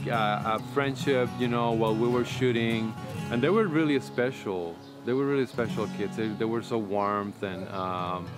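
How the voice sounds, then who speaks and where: Halting, earnest man over loud guitar music. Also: lowish voice. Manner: halting, earnest, repeats phrases. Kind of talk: casual, reflective interview about a film. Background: loud plucked guitar music.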